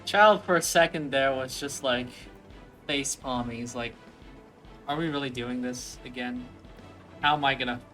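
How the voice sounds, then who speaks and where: Young guy doing chill game commentary, mid pitch but bright forward voice, faint music behind. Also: normal speed, pauses often, rough recording. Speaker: let's play host.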